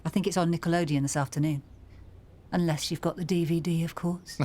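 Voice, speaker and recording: A woman's voice: lowish voice, fairly even intonation, brisk, warm tone, natural voice. An actress playing a teasing middle-aged pub landlady in a radio soap.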